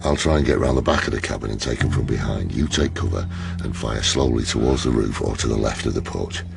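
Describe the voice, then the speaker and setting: Tough British police inspector, extremely low voice, gravelly, blunt and sweary, pragmatic, radio drama. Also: male voice, fast talker, background noise, heavily acted. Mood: instructing. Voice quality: very deep, gravelly. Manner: blunt, sweary, matter-of-fact. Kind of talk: naturalistic radio drama acting.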